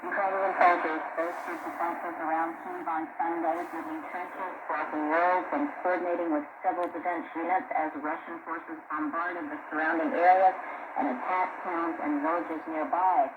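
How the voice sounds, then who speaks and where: A woman's voice: on the low side, little pitch movement, moderate pace. Echoey, noisy shortwave woman newsreader. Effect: shortwave radio. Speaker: radio newsreader. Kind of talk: formal scripted news reading.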